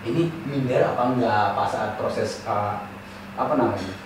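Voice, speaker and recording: A man's voice: fairly low pitch, moderate pace, noisy background. A man from eastern Indonesia hosting a casual group chat, asking questions with lots of fillers and restarts. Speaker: host. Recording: echoey room, mic at a distance.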